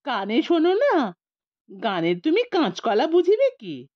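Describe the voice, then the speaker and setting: Woman storyteller doing an indignant, very animated blind man. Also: sits high, a little slow, no echo, dull audio, pitched above the performer's own voice, full character voice.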